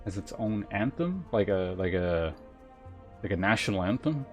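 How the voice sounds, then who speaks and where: A man's voice: lowish voice, expressive, normal speed. A man livestreaming a game, chatting informally as he thinks aloud and reads game text. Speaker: game streamer. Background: game music.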